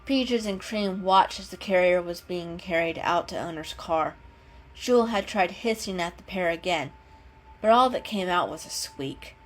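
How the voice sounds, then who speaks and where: A woman's voice: on the low side, moderate pace, poor audio. A woman giving a dramatic reading of an animal story as its narrator. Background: background music.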